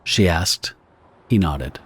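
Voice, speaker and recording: Low-voiced man narrating pulp horror. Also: lively intonation, takes it slowly, slightly rough. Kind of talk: scripted audio drama narration of pulp horror fiction. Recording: guitar music behind the narration. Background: plucked guitar music.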